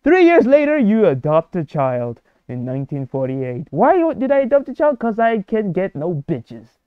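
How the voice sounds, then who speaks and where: A man's voice: high-pitched, huge pitch swings, moderate pace, smooth, dry recording, phone-quality sound. A young man casually commenting on a story game with playful jokes and stumbling repeats. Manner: joking, playful, repeats words. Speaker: gaming video creator. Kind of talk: casual let's play commentary.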